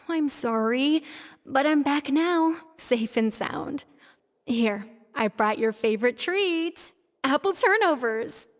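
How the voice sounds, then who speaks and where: A woman voicing a gentle, reassuring mother with a tender, soothing manner in a dramatic video game scene. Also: high-pitched, lively intonation, slow pace. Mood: warm greeting. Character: gentle, reassuring mother of two young daughters. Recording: long reverb, music underneath. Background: music.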